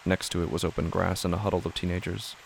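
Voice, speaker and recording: A man narrating a scripted horror story in a hushed, deliberate, brooding, gravelly manner. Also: low voice, level tone, slight background noise.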